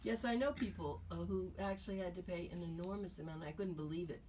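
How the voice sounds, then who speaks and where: A woman's voice: lowish voice, fast talker. Woman radio host, chatty conversational questions, bit far from the mic, faint hiss. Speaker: radio talk show host. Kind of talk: radio interview. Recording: radio broadcast from a distance, faint noise.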